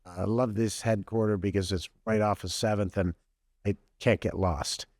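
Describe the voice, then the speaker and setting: Podcast host guy, lowish voice, dry close sound, lots of ums, easygoing. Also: normal speed, dry recording. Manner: relaxed, hesitant, many ums and uhs. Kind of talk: casual podcast interview.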